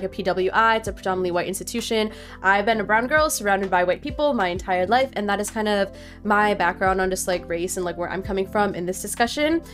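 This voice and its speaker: A young woman from Nepal, a student, talking earnestly to her viewers in a casual opinion vlog, filling her sentences with "like". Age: young adult. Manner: earnest, heavy use of "like", animated pitch jumps. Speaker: vlogger. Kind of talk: casual opinion talk to camera. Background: faint background music.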